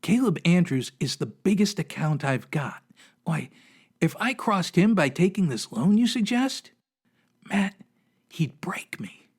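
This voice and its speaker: A man's voice: high voice, big pitch swings, a little slow, husky, full character voice. A man playing an apologetic, pressured small-town banker in a radio western, pleading and justifying himself. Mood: defensive, pleading. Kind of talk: old-time radio western acting.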